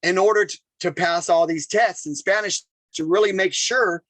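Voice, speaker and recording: Podcast guest: man, high voice, animated storyteller who repeats himself. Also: normal speed, no room sound. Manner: animated, talks in bursts with repeated phrases. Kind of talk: casual storytelling interview.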